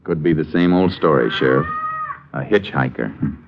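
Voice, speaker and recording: A man acting a steady, sharp Texas Ranger detective in an old radio drama, laconic and matter-of-fact. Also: very deep voice, normal speed, dull audio, background noise. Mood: calm, businesslike.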